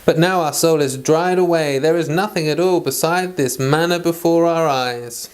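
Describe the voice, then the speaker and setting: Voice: medium pitch, moderate pace, darkish tone. A person reading the Bible aloud as host of an informal read-along, mixing plain chatty asides with formal scripture.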